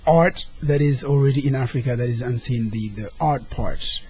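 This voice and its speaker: A man's voice: medium pitch, moderate pace, mellow, breathy edge. A man from Senegal, a guest talking earnestly and sometimes searching for his words. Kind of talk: casual interview talk.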